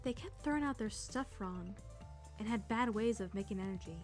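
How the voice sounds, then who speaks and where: A woman's voice: mid-range voice, expressive, fairly quick, darkish tone, lightly acted. A woman playing a sarcastic, easily flustered teenage girl trainee in a visual novel dub, narrating her thoughts and stammering when nervous. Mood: earnest. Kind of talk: first-person visual novel narration and dialogue. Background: music.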